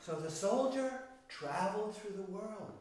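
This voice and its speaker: Guy telling kids a story, high lively voice, really echoey and off from the mic. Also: a little hiss or hum. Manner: slow, expressive, dramatic pauses, addresses the audience directly. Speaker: children's storyteller. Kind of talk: animated storytelling for young children. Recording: roomy, echoey, distant microphone.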